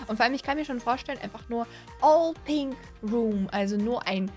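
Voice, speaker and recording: A woman in her twenties hosting a gaming video with excited, casual reaction commentary, gushing and often repeating her words, recorded on a close studio microphone. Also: a little high, a little fast, over music. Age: 20s. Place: small indoor streaming room. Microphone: close studio microphone on a boom arm.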